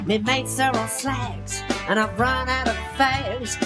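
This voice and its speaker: A woman with a British accent singing a grumbling comic song in a deadpan way. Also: fairly high pitch, slow. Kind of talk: comic song, sung to plucked guitar. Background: plucked guitar music.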